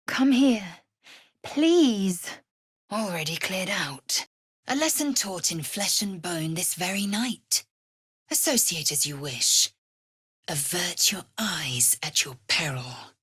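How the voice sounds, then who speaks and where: A woman voicing a fierce, proud alien warrior in a video game, speaking with a husky rasp in a haughty, clipped, commanding and formal manner. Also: fairly low pitch, animated, slow pace, a bit bright, dry recording, clean studio sound, heavily acted. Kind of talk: video game character voice acting.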